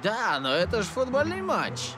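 A man's voice: high voice, huge pitch swings, normal speed, background noise. A man playing a chatty, carefree football fan in a dubbed cartoon, exclamatory in manner. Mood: casual.

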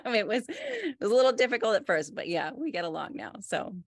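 A woman in her forties, an artist, talking in a relaxed, thoughtful way with many fillers and restarts, on a video call from a wood-panelled room at home. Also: a little high, a little fast, slightly rough, no echo, clean studio sound. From United States. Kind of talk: conversational interview.

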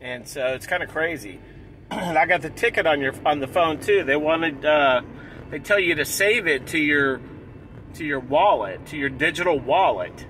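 A man in his forties vlogging from inside a car, talking excitedly in a casual, repetitive way and clearing his throat often. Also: a little high, moderate pace, slight background noise, low-quality recording. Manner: hyped, repeats himself, clears throat often. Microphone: dashboard camera close up.

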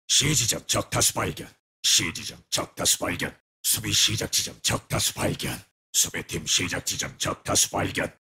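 An actor playing a menacing, tormented shadow wraith in a video game, with a gravelly, breathy rasp and grave, terse delivery. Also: male voice, low voice, sharp tone, full character voice. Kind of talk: video game character voice lines.

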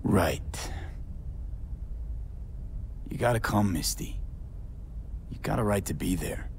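Low-voiced, terse young male mercenary. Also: takes it slowly, vocal fry, darkish tone, slight background noise. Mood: concerned, direct. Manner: terse, clipped, low-key. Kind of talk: naturalistic video game dialogue acting.

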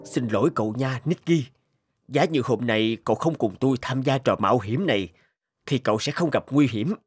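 A man's voice: medium pitch, lively intonation, normal speed, breathy edge, no room sound, clean studio sound. A man voicing an earnest, devoted mountain climber in a cartoon, speaking emotively. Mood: guilty, distressed. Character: earnest adult male mountain climber, guilt-ridden and devoted friend. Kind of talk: dubbed cartoon acting. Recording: loud music over the voice.

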